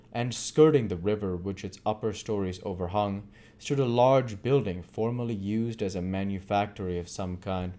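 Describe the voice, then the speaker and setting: A man's voice: fairly low pitch, a little mellow. A man reading literary narration aloud as an amateur audiobook reader.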